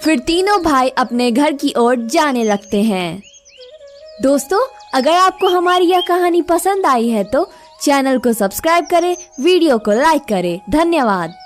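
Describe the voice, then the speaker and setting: A woman storyteller with a fairly high voice reads a scripted children's tale. Background music plays clearly under the narration. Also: lively intonation, unhurried.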